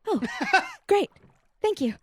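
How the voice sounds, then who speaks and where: A woman's voice: very high voice, very animated, slow, no echo. A woman player bantering playfully in character during a tabletop game. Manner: playful, giggly.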